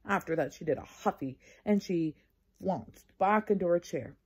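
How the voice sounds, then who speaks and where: A woman's voice: fairly low pitch, normal speed, darkish tone, husky. A woman reading a children's storybook aloud expressively and explaining things to young listeners. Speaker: storybook reader.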